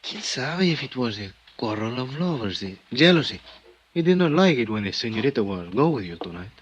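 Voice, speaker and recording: A man's voice: mid-range voice, sing-song, brisk, highs cut off, close to an everyday voice. Guy doing eager mexican hotel worker, broken english, hiss behind, old radio play. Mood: musing. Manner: broken English with Spanish words. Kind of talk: 1950s radio drama acting. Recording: noisy old radio recording.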